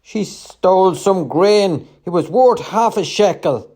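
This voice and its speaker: Man acting an outraged, high-pitched shouting trader. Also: expressive, slow, full character voice. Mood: indignant. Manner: shouting, indignant, barking. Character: outraged accusing male market trader, loud and indignant.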